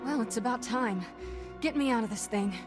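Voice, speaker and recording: An actress playing a defiant, plucky young Jedi companion in a video game, brisk and no-nonsense. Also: female voice, a little high, over music, lightly acted. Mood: impatient. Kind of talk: video game cutscene acting.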